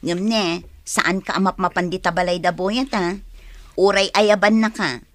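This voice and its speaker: A woman's voice: on the low side, steady tone, normal speed, no room sound, lightly acted. A woman playing a caring, cautious, fretful mother in an Ilocano radio drama. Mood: gently firm.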